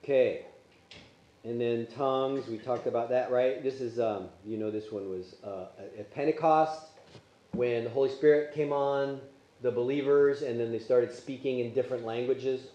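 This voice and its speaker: A man's voice: mid-range voice, fairly even intonation, normal speed, dark tone, muffled recording. A man teaching a Sunday school Bible class, asking the class questions as he goes, with Polish as his first language. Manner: conversational, asks the class questions. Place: church classroom. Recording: echoey room.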